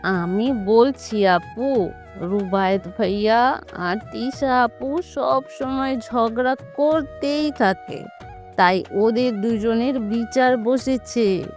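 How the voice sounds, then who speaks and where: A woman's voice: on the high side, slow, soft background music. A woman voicing a chatty, pert young sister who squabbles in a family audio story. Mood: tattling.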